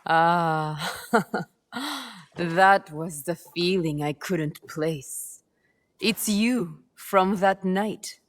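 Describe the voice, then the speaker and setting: A woman playing a poised, cunning, self-assured game character with a measured, unhurried way of talking. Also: lowish voice. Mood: cool, bargaining. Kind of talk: video game cutscene acting.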